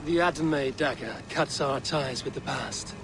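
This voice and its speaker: An actor playing a composed riddle-answering seeker in a video game, speaking in a measured, formal, direct manner. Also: male voice, medium pitch, over music, lightly acted. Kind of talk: game dialogue acting.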